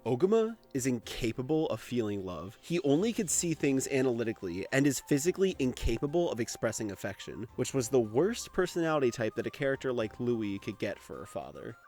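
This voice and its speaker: A man's voice: mid-range voice, very animated, brisk, hardly any pauses, a little mellow, soft background music. A man giving casual scripted commentary for a video essay, in an informal, conversational way with the odd swear word.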